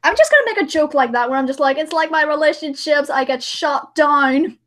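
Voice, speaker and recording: Woman, high voice, casual livestream chat, playful, joking. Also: animated, a little fast. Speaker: livestream host editing a dramatic reading.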